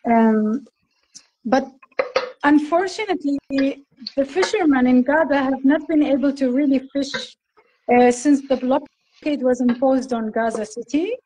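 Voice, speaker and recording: High-voiced woman on a phone call. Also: unhurried, a little hiss or hum. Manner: gentle, explaining step by step. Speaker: guest cook. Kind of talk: casual video chat. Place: indoor room, phone held close. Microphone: phone at arm's length.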